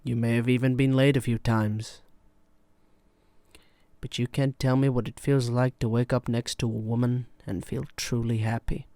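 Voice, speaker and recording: A man playing a weary, wounded therapist in a film drama monologue, speaking in a measured, pointed and confrontational manner. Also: mid-range voice, little pitch movement, mellow, no echo, natural voice. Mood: pointed, restrained.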